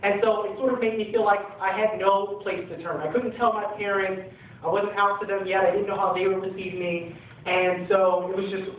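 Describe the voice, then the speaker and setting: A young man speaking on a panel with a handheld mic, telling his own story in an earnest, halting way with many fillers. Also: high voice, slight background noise. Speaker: youth center panelist. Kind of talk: personal testimony on a panel. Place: screening room panel, large hall. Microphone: handheld mic, recorded from far in the audience. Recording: echoey hall, far off, tinny.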